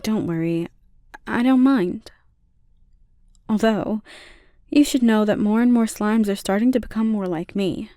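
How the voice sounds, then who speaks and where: A woman voicing a gentle, caring slime monster girl with playful teasing, in a soft, intimate ASMR roleplay delivery. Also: medium pitch, measured pace, long pauses, no echo, studio quality, lightly acted. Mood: gentle explaining.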